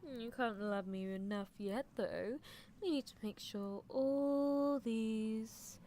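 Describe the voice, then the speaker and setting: A woman's voice: on the high side, warm tone, a little hiss or hum. A woman voicing an obsessive, teasing mad-scientist girl in a roleplay ASMR, coaxing and clinical with a captive test subject.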